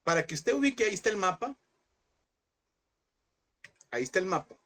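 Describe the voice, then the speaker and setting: A man teaching a Bible class, asking his listeners questions, repeating them and slowing down on key points. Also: high voice, sing-song, a bit bright. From El Salvador. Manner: addresses listeners as brothers, asks and repeats questions; slows and stresses key words.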